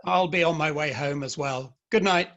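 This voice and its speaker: A sociable gentleman of the Victorian era played by a man, with a slightly high voice. The performance is a play reading and the sound is lo-fi and muffled. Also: moderate pace. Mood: companionable. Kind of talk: dramatic play reading over the internet. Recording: dull, lo-fi online call audio.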